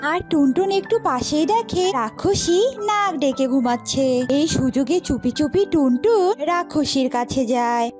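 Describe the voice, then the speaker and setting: A woman's voice: high-pitched, lively intonation, normal speed, hardly any pauses, darkish tone, soft background music. A woman storyteller reading scripted narration for a children's cartoon.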